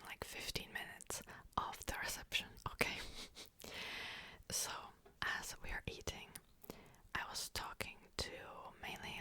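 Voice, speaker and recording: A young woman whispering a personal story in a chatty way, full of "like" fillers and small laughs. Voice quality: soft whisper throughout. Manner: chatty, frequent "like" fillers, amused.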